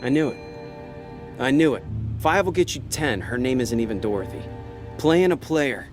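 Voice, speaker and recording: A man's voice: medium pitch, lively intonation, moderate pace, music in the background, natural voice. An actor playing a laid-back con man who narrates a noir audio drama in a wry, slangy, hard-boiled way. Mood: scheming, suspicious. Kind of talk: noir audio drama acting with inner monologue.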